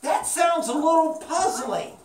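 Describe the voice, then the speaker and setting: A woman's voice: very high voice, animated, a little slow, crowd noise. A woman leading a question-and-answer Bible lesson for young children, repeating her questions and phrases for them. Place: small indoor classroom. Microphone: far camera. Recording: distant camera mic, roomy, background noise.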